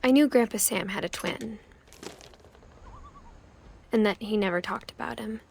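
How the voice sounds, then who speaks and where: A woman playing a thoughtful young woman who quietly narrates her family's past in a game. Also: mid-range voice, unhurried, vocal fry, a little hiss or hum. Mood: quiet, wistful.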